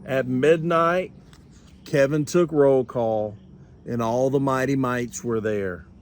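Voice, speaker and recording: An older man reading a children's storybook aloud with expressive, deliberate phrasing. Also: mid-range voice, slight background noise. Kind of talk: animated children's storybook narration.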